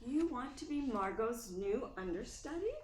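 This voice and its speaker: Woman playing a breezy, animated society wife. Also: a little high, normal speed, a little hiss or hum, natural voice. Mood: friendly, reassuring. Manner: expressive, reassuring. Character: friendly, breezy, confident society wife and narrator. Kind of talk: radio play acting. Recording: roomy sound with faint music.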